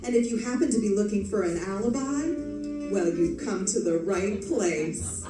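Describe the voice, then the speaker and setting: A woman playing a sultry, teasing cocktail lounge hostess in a theatrical radio ad, flirtatious and drawn-out. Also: medium pitch, lively intonation, reverberant, far from the mic, over music, close to an everyday voice.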